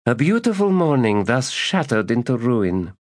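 A man's voice: mid-range voice, very animated, unhurried, dry recording, pitched above the performer's own voice. Brief: man voicing a raised, languid, disdainful lady, dry close audiobook sound. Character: haughty, weary, elegant noblewoman. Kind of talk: audiobook character voice.

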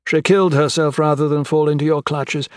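A man voicing an older gentleman villain in an audiobook, with florid, mock-courteous, taunting speech. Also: on the high side, fairly even intonation, fairly quick, no echo, studio quality, pitched above the performer's own voice, natural voice. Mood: grim accusation. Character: menacing, theatrical old gentleman crime boss, mocking and sentimental. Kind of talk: dramatic audiobook character acting.

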